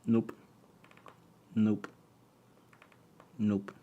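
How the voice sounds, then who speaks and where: A man's voice: fairly low pitch, completely flat delivery, drawn-out words, dark tone, lo-fi sound. A man, talking casually to his stream audience at a home workbench. He trails off, repeats words and mutters as he works on the parts. Born in Poland but raised in England, he keeps an easygoing, unscripted tone. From born in Poland, raised mostly in England. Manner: hesitant, restarts often, murmurs to himself while tinkering. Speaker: electronics livestream host. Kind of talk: casual live stream chat while taking apart a camera.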